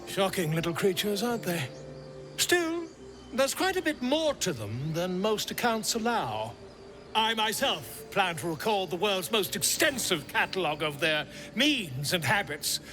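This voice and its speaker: An actor playing a pompous, excitable middle-aged scholar in a video game, with florid, theatrical delivery. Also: male voice, high-pitched, a little slow, soft background music, full character voice. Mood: eager, pompous. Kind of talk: video game character acting.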